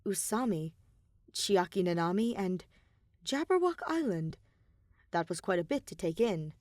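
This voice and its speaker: A woman narrating fan fiction in an expressive storytelling style. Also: on the low side, unhurried, dry recording, studio quality. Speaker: podfic narrator.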